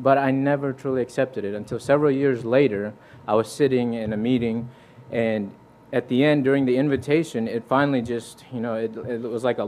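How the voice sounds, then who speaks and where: A man's voice: mid-range voice, a little mellow. Earnest young man preaching a testimony. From Fiji. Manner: earnest, conversational, with restarts and quoted scripture. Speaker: visiting preacher. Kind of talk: sermon with personal testimony. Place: church.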